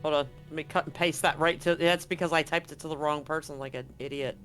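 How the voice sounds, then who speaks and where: A man's voice: a little high, steady tone, fast talker, fairly bright tone, soft background music. A man acting as game master of a live-streamed tabletop game, explaining casually with some swearing.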